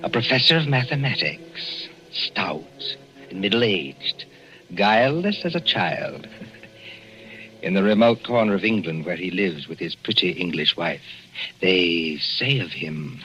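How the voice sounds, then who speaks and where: A man narrating a radio mystery with an ominous, measured, theatrical delivery, drawing out the suspense. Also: sits low, lively intonation, fairly bright tone. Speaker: radio drama host and narrator. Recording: muffled 1940s radio broadcast with music behind.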